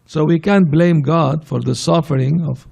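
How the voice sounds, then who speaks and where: A man gives a spiritual talk in a temple hall with a gravelly, mellow voice that sits a little high. He answers questions gently and reassuringly. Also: normal speed. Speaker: swami giving a class. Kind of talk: Q&A after a scripture class.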